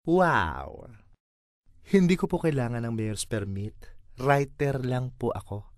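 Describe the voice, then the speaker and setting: A man's voice: medium pitch, big pitch swings, slow pace, no echo, clean studio sound. A man with a Filipino accent reads a comic monologue in a theatrical, exasperated manner. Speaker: monologue reader. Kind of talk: dramatic comic monologue reading.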